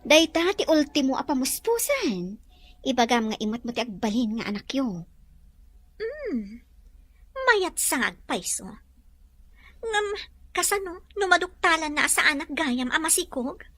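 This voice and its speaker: An actress plays a scheming woman friend in a radio drama. Her voice is extremely high and swings in melody as she coaxes and persuades like a conspirator. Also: very animated, measured pace, pauses often, a bit bright, no room sound, heavily acted. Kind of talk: Filipino radio drama dialogue.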